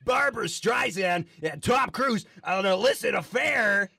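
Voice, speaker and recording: A man playing a manic, giggly, doom-mongering news anchor with a raspy, gravelly voice in an improvised comedy newscast. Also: high-pitched, expressive, measured pace, bright, forceful tone, dry recording, shouting, clean studio sound, full character voice. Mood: frenzied, alarmist. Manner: hysterical, bombastic, prone to giggling.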